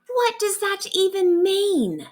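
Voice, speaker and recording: A woman's voice: very high-pitched, very animated, takes it slowly, a little mellow, pitched above the performer's own voice, full character voice. A woman voicing a frantic, exasperated storybook woman searching for a lost pet. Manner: pleading and sarcastic outbursts. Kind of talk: storybook character voice.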